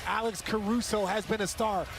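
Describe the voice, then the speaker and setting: A man with a high voice delivers analytical color commentary on live basketball. His voice sits in very loud arena noise. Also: steady tone, moderate pace, creaky.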